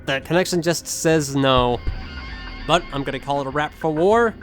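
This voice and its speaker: A man's voice: on the high side, moderate pace, soft background music. A young man giving casual commentary as a gaming video creator.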